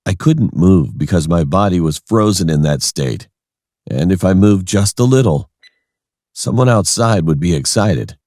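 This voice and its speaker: A man's voice: low-pitched, lively intonation, unhurried, a little mellow, no echo, studio quality. A man reading a scripted first-person horror story as its narrator.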